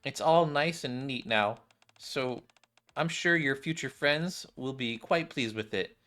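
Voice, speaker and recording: A man's voice: mid-range voice, measured pace, fairly bright tone, natural voice. Man voicing a poised, encouraging woman designer. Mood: kindly, approving.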